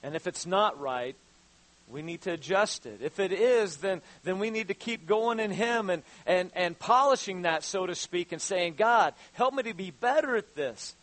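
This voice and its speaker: A pastor in his fifties preaches with a high voice, doubling back over his words before rising into urgent calls to his listeners. The sound is dry and close, with almost no room around it. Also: animated, fairly bright tone, no room sound, low-quality recording. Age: 50s. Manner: halting, repeats words, rises to fervent exhortation. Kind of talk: sermon.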